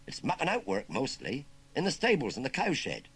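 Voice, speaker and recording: A man's voice: mid-range voice, wildly swooping pitch, normal speed, fairly bright tone, slightly rough, pitched above the performer's own voice, full character voice. A man voicing a grumpy but kindly older farmer who fumbles and hesitates over his words. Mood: flustered.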